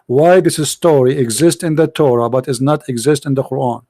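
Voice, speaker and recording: A man with hosting a live-stream debate, mocking and interrupting with rapid repeated questions. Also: medium pitch, warm tone, no room sound. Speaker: livestream host debating callers.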